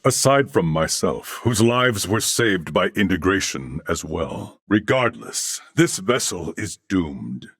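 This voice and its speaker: Slow, gravelly, noble male cyborg. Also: low-pitched, fairly bright tone, no echo, clean studio sound, full character voice. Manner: formal, measured, solemn diction. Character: armored cyborg humanoid, male, courteous, earnest and noble. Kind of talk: video game character voice acting.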